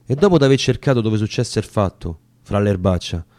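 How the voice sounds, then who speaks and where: A man's voice: on the low side, lively intonation, moderate pace, a little mellow, no echo. A man with a Roman accent giving a solemn, theatrical recitation of narrative dialect poetry. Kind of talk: dramatic recitation of narrative verse.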